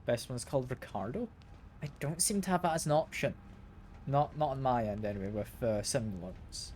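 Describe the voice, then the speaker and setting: A man's voice: medium pitch, animated, normal speed, darkish tone, slight background noise. A man streaming a video game, slightly ill and congested, chatting casually and often repeating words as he plays. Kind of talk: casual gameplay commentary. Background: game music.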